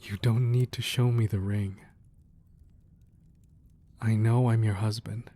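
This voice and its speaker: A man playing a protective, ruthless crime boss who is tender with his wife, speaking softly and earnestly in an intimate romantic ASMR roleplay. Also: lowish voice, fairly even intonation. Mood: softening, resigned. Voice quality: soft, husky, breathy. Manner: earnest, measured, firm yet affectionate.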